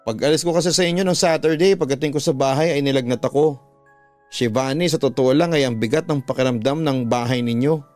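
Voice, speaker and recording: A man's voice: medium pitch, fairly quick, darkish tone, faint music behind, close to an everyday voice. Man voicing an uneasy, earnest Filipino schoolgirl. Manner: earnest, cautioning. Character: uneasy schoolgirl warning her friend of ghosts.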